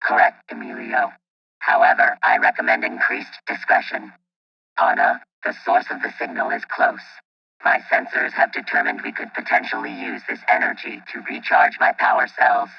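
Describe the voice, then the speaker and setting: Gravelly, filtered robot guardian. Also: male voice, a bass voice, sing-song, normal speed, recorded from a distance, muffled recording, heavily acted. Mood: measured, cautious. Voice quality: gravelly, husky, electronically processed. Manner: formal, clipped, analytical speech. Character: calm, cautious robot guardian of two children. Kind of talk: radio play acting.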